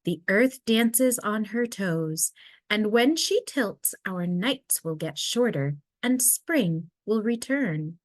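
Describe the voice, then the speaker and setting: A woman voicing a gentle, reassuring mother polar bear from a storybook, soothing and unhurried. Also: mid-range voice, animated, no echo, studio quality, voice pushed up. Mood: calm, reassuring.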